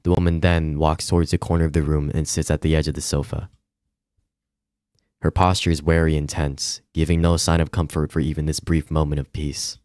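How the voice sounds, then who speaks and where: Low voiced dude on a stream, chatting and reading game text out loud, super dry room. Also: male voice, little pitch movement, brisk, dry recording. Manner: stumbles and comments while reading. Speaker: streamer playing and reading a visual novel aloud. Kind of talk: casual livestream chat and read-aloud narration.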